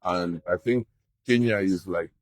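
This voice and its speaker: A man with a very deep voice speaks in a documentary interview. He explains things thoughtfully and steadily. The sound is dry and close, with no room around it. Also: unhurried, no echo. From Zambia.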